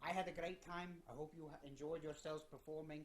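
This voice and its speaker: Male comedy horror host, chatty interviewer with a halting, stumbling delivery, recorded in an echoey room. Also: fairly high pitch, steady tone. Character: mad scientist horror host, chatty and genial. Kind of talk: comic in-character interview. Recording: roomy echo.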